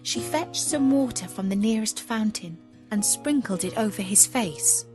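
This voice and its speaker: A woman narrating a scripted fairy tale as a storyteller, measured and expressive. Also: medium pitch, faint music behind.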